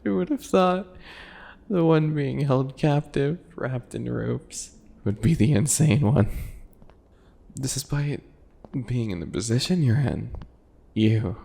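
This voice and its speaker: A man playing a calculating, obsessive potion-maker in an ASMR roleplay, with a deliberate, mocking, theatrical delivery. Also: medium pitch, animated, gravelly, mellow, heavily acted. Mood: amused, incredulous.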